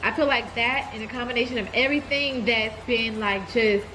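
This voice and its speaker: A woman's voice: a little high, normal speed, a little hiss or hum, rough recording. A woman with a stuffy cold voice, chatting candidly to her viewers in a casual life update. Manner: relaxed, candid, addresses viewers as y'all. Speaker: vlogger giving a life update. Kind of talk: casual chat to camera.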